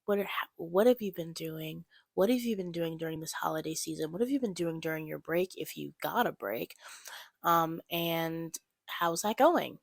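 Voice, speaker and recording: A woman's voice: low-pitched, lively intonation, moderate pace, creaky, no echo, studio quality. A teenage girl hosting her own podcast, talking in an earnest, encouraging, rambling way with a slightly stuffy nose and a bit of a sore throat. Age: teens. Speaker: podcast host. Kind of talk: solo casual podcast talk.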